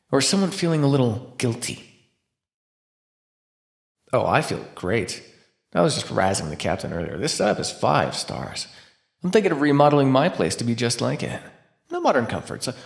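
A man's voice: medium pitch, vocal fry. A man playing a defiant captive vampire in an ASMR roleplay, talking with sarcastic, taunting flippancy. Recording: roomy echo.

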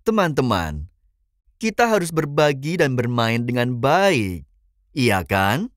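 Slow, fatherly cartoon shark. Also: mid-range voice, very animated, no echo, studio quality. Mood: admonishing. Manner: admonishing, patient. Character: fatherly adult male shark, gently scolding. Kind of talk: children's cartoon dubbing.

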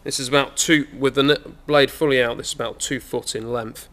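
Young auctioneer, chatty and hesitant. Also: male voice, medium pitch, fairly even intonation, brisk, a bit bright. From England. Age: young adult. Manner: chatty, hesitant with many fillers. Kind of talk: informal walkaround sales preview. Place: large indoor auction saleroom.

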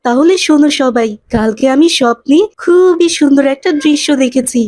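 A woman as an earnest, high-voiced cartoon boy. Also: brisk, a little mellow, natural voice. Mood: wonder. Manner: earnest, explaining. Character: earnest, pious boy, gentle older brother to friends.

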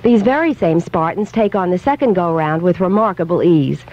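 A woman's voice: fairly low pitch, moderate pace, darkish tone. Wry woman columnist on muffled 1970s radio. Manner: wry, rhetorical. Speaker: radio leisure and food columnist. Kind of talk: scripted humorous radio commentary. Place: radio studio. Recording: slightly dull 1970s radio recording.

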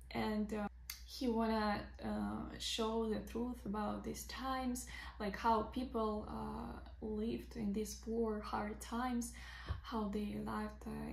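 A young Russian woman, a teacher of literature, talking to camera in English with a Russian accent, halting with many fillers and simple grammar. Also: on the high side, gravelly, reverberant, a little hiss or hum. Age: young adult. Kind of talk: casual talk to camera. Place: bright bedroom by big windows, indoors.

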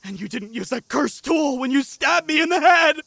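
Man voicing a manic, high-pitched cocky young sorcerer. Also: lively intonation, normal speed, vocal fry, sharp tone, no echo, heavily acted. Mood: giddy, manic. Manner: theatrical, gleeful rambling. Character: manic young male sorcerer, cocky, exhilarated, newly powerful. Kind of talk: anime manga fan dub.

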